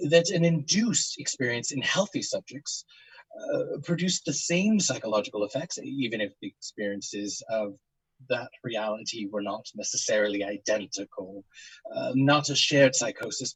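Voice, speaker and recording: Man acting halting academic psychologist, slightly husky. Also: mid-range voice, no echo, natural voice, rough recording. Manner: halting, careful, scholarly delivery with hesitant pauses. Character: Doctor Porter, measured academic psychologist giving a formal statement. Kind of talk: audio drama monologue, testimony.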